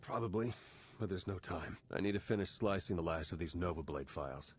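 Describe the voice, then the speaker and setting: A man's voice: sits low, fairly quick, slightly rough. An actor playing a wry, weary male spy and hacker in a video game cutscene, talking dryly and with urgency. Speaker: spy and slicer.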